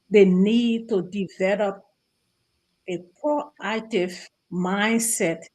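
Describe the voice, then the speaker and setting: Woman, Liberian accent, interview guest, very unhurried pace, measured, halting phrases. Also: medium pitch, darkish tone.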